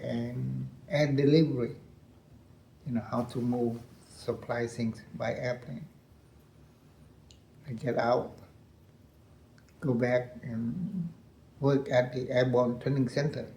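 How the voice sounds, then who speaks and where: Older Lao-accented man, gravelly and echoey. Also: mid-range voice, mellow, slight background noise. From Laos. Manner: halting, repeats phrases, laughs often. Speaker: interview guest, veteran colonel. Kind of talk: recounting war memories.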